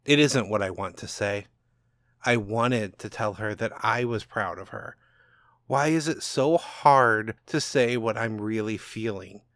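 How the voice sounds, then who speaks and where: A man playing a bitter, self-loathing old father in a subdued, halting audiobook narration. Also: lowish voice, a bit bright, no room sound, studio quality. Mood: brooding regret.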